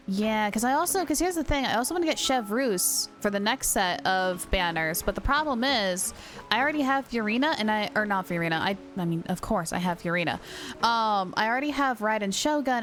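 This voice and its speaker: A woman livestreamer chatting casually over a game, with an excitable, gushing manner. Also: mid-range voice, normal speed, soft background music. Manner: excitable, gushing, baby-talks to her dog. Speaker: live game streamer. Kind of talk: casual livestream chat while gaming. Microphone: streamer's mic, wearing headphones.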